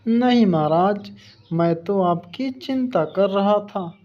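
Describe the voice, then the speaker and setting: A woman's voice: mid-range voice, slow, dull audio, lightly acted. A woman voicing a clever, cheeky male monkey in a children's cartoon, mock-polite and playful. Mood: mock concern. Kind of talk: animated children's story dialogue.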